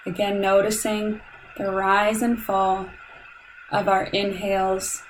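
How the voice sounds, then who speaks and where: A woman guides a meditation at a very slow pace, with a medium-pitched voice. Her delivery is calm and soothing, giving measured instructions with long pauses. A light layer of background noise sits behind her. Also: low-quality recording.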